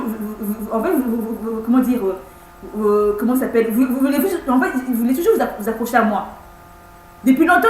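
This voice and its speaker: Woman, 30s, higher voice, stumbling and repeating herself, pleading tone, echoey room with faint hum. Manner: halting, many repeated words and restarts; insistent, pleading. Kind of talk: personal video message.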